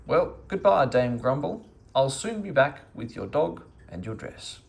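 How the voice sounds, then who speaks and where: Man voicing a fussy, bumbling storybook fellow, lively pitch. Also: a little slow, gravelly. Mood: eager, uneasy. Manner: fussy, eager to help. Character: well-meaning, bumbling, easily flustered man. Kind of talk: children's storybook read-aloud.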